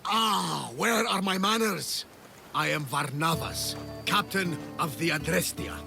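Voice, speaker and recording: An actor playing a hearty, grateful old sea captain in a video game, gravelly and theatrical in his effusive talk. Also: a little high, slow, sharp tone, faint music behind, exaggerated voice. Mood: jovial. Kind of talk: video game cutscene acting.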